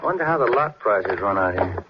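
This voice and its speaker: A man acting a polite, steady police detective partner in a 1950s radio drama, plain and matter-of-fact. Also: fairly low pitch, normal speed. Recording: dull, noisy old radio recording.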